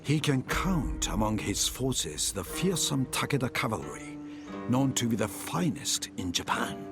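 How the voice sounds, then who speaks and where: A man narrating samurai wars for a video game cutscene as a grave chronicler, in measured, dramatic storytelling. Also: medium pitch, sing-song. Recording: music under the narration. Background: cinematic music.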